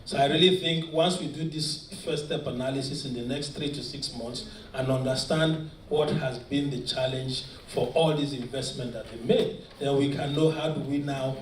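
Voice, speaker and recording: A man's voice: medium pitch, fairly even intonation, moderate pace, hardly any pauses. Nigerian man on a panel, echoey hall. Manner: earnest, with frequent restarts. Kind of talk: panel discussion. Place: large conference hall. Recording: echoey conference hall from far off. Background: faint ambience.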